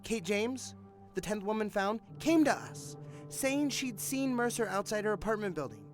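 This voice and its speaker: An actor playing a hard-nosed, callous police detective in an audio drama, forceful, sneering and insistent. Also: male voice, high voice, lively intonation, moderate pace, soft background music. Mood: impassioned, bitter.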